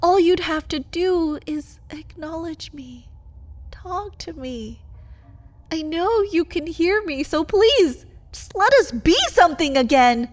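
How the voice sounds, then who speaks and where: A woman playing a caged vampire lover in an ASMR roleplay, intimate and breathy, pleading and bitter, often dropping toward a whisper. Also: very high voice, animated, slow, heavily acted.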